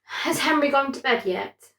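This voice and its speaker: A woman's voice: high voice, animated, normal speed, a bit bright, voice pushed up. Woman playing an urgent female prawn, roomy sound. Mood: affectionate. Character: bossy, quick-thinking, affectionate female prawn in a bedtime story.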